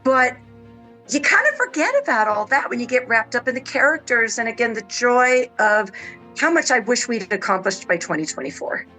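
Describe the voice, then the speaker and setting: A woman of about sixty chatting casually and enthusiastically as a panel guest, often halting and repeating words as she goes. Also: a little high, lively intonation, bright, forceful tone, soft background music, poor audio. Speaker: panel guest on a radio discussion. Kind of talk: casual chat. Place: radio roundtable discussion.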